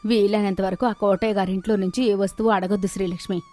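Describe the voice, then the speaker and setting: A woman's voice: mid-range voice, fairly even intonation, fast, mellow, faint music behind, close to an everyday voice. A woman voicing a caring, practical village mother, homely and concerned as she instructs her family.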